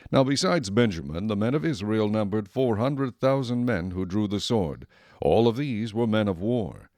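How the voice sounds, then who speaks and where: A man giving solemn, measured scripted narration for a dramatized Bible recording. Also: fairly low pitch, expressive, darkish tone, no room sound, studio quality.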